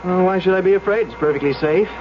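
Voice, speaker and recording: An actor playing a conflicted, reflective public official in a first-person radio drama. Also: male voice, high voice, quick pace, noisy background, natural voice. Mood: casual, evasive. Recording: dull old radio recording.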